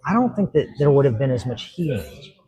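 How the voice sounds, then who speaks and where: A middle-aged man with a Kentucky accent, a judge talking earnestly as a podcast guest, pausing often and restarting his sentences. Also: mid-range voice, sing-song, warm tone, slightly rough. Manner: earnest, halting, many fillers and restarts. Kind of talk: casual interview talk.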